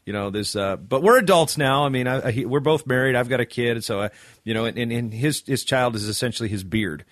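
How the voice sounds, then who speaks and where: A man's voice: mid-range voice, fairly quick, fairly bright tone, no room sound, clean studio sound. Joking Michigan-accented male coach. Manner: joking, rambling storyteller. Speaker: assistant wrestling coach. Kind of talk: casual podcast chat.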